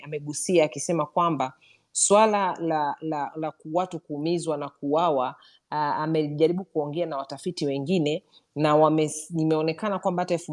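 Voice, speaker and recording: A woman hosting a live online discussion, summarizing points quickly with frequent fillers and inviting questions. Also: low voice, a little mellow. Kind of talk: moderating a live audio discussion. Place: online audio room.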